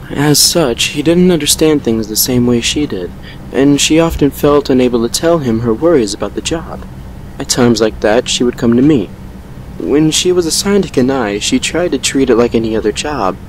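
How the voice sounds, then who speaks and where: A man acts out a composed male vampire lord in a fan audio drama. He speaks at a middle pitch in measured, formal phrases, courteous and secretive, as if confiding an old tale. A faint noise sits under the recording. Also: darkish tone, lightly acted, rough recording. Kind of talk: dramatic character dialogue and storytelling.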